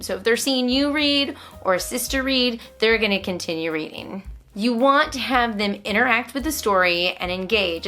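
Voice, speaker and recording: Mom doing a homeschool vlog, bright clear-cut voice, explains stuff and encourages. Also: female voice, mid-range voice. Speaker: homeschooling mother and host. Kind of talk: instructional vlog talk with read-aloud asides to a child.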